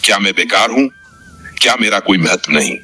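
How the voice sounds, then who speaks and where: Man voicing a sad new-moon sister, low and bright. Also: animated, brisk, slightly rough, heavily acted. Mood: sad, hurt. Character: sad, self-doubting young sister, dark new-moon spirit.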